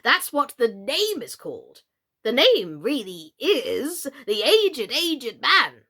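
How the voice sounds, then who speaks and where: Woman doing a gentle foolish old knight from a storybook, doleful n fussy, really drawn out, swoops all over. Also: fairly high pitch, sing-song, slightly rough, exaggerated voice. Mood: vexed, fussy. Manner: slow, drawn-out, theatrical, fussy. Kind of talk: children's storybook character voice.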